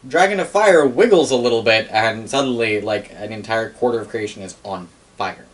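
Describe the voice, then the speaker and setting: A man's voice: medium pitch, fairly bright tone. A young adult man telling a story casually and off the cuff in a wry, sweary manner, recorded in a living room by a camera a few metres away. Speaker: storyteller recounting game lore. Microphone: camera mic a few metres away. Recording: room recording with clear background noise.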